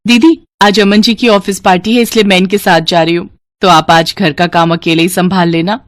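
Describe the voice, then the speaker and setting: A woman voicing a gentle, devoted young married younger sister who speaks politely and earnestly in a story. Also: on the low side, fairly even intonation, moderate pace, no echo, natural voice. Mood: polite asking.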